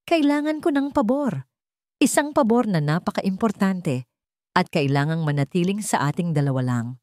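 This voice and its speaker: A woman's voice: on the low side, lively intonation, normal speed, a little mellow, no echo, studio quality, natural voice. A woman playing a commanding, suspicious male billionaire boss in an audio romance, curt and insistent.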